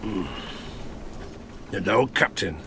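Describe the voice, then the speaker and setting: An actor playing an old, garrulous sailor and former harpooner, telling tavern tales in rustic seafaring dialect for an audio drama. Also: male voice, fairly low pitch, animated, slow pace, background noise. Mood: reminiscing. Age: older. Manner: rustic sailor dialect, chuckling, conspiratorial asides. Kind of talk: theatrical audio drama storytelling.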